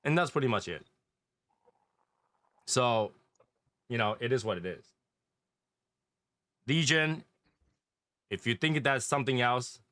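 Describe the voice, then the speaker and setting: A man with a Taiwanese accent leads a casual group chat. His voice is bright and medium in pitch, recorded dry. He tends to repeat phrases to make his point. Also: takes it slowly, long pauses, dry recording. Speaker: host and leader of an online cigar community.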